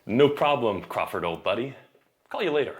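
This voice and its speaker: A man's voice: mid-range voice, sing-song, normal speed, close to an everyday voice. A man playing a charming, eager, self-assured faded Hollywood star in an audio drama. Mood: confident.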